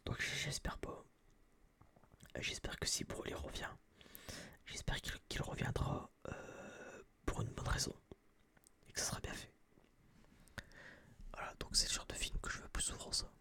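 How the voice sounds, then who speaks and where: Whispering young Frenchman, close and breathy. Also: measured pace, pauses often, sharp tone. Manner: casual, slangy, rambling. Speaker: ASMR video creator. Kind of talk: whispered ASMR film review. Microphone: close binaural microphone.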